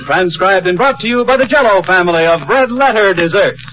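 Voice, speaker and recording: A man's voice: high voice, lively intonation, brisk, a bit bright. A man with an American accent announcing a 1950s radio show in scripted, old-time style. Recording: dull old radio recording, music behind.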